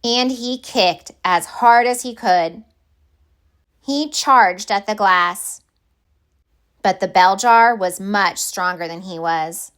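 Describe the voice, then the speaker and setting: A woman reading a children's picture book aloud as a storyteller, with deliberate, expressive phrasing. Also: mid-range voice, smooth.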